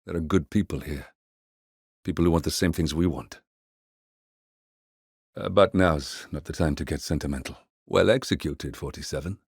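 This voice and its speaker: Brooding game mercenary handler, very low voice, measured, dry wit, close dry studio sound. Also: male voice, gravelly, slightly rough, no echo, full character voice. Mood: grave, reflective, then quiet satisfaction. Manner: measured, understated, dryly wry. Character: composed, dry, brooding male mercenary handler, middle-aged. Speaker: mission handler. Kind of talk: scripted video game voice acting, mission briefing.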